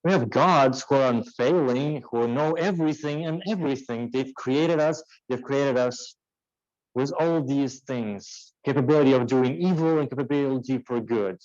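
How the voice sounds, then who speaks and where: A man's voice: mid-range voice, moderate pace, darkish tone, dry recording. A man giving an impromptu motivational speech, using rhetorical questions and short emphatic exhortations. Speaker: impromptu speaker at a speaking club. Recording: dull, muffled lo-fi sound.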